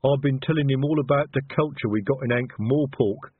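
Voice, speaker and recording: A man voicing a big, slow-witted troll who speaks earnestly and ungrammatically, proud of his culture, in an audiobook. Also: medium pitch, slightly rough, dry recording, phone-quality sound, voice pushed up, natural voice. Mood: earnest, expansive. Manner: ponderous, uneducated, ungrammatical speech. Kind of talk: audiobook character voice.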